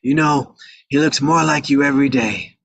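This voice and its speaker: Aged mob patriarch, performed by a man: weary, forgetful, husky, creaky voice, rambling delivery. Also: mid-range voice, a bit bright. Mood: reflective. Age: older. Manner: rambling, halting. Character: weary, forgetful old mafia patriarch, male. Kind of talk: live table read of a crime film script.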